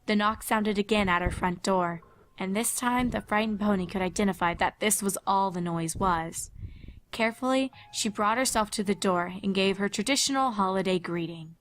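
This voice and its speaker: A woman reading scripted dramatic story narration in a measured, expressive way. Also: medium pitch, poor audio.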